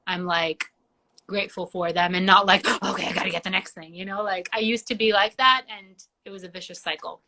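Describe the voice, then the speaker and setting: A woman's voice: mid-range voice, steady tone, brisk, pushed and bright. A young woman from Georgia, a singer-songwriter whose first language is Georgian, chatting casually as an interview guest and filling her talk with "like". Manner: chatty, many "like" fillers.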